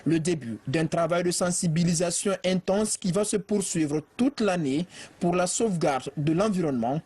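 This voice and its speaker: A man reading a news report voice-over in a steady, formal way. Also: high voice, normal speed, husky. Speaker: field reporter.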